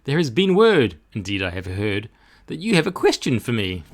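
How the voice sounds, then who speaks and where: A man's voice: medium pitch, sing-song, normal speed. A man voicing a huge, hearty, wise storybook whale making grand rhyming pronouncements. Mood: hearty greeting.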